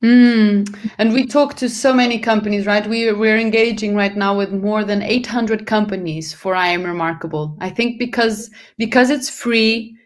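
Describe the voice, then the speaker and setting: A woman's voice: mid-range voice, steady tone, normal speed, hardly any pauses. Brief: woman raised in Portugal, English as a second language, earnest panel guest, mid-range voice, self-correcting. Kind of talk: panel discussion answers.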